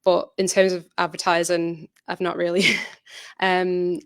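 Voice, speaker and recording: A woman with a lowish voice answers questions about her creative work in a conversational way. Her delivery stays very level and a little hesitant, qualifying thoughts as she goes. The sound is dry and close, with little room. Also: monotone, a little slow, clean tone, no echo. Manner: hesitant, self-reflective, trailing qualifications. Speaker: audio drama writer and presenter. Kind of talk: informal presentation Q&A, conversational answers.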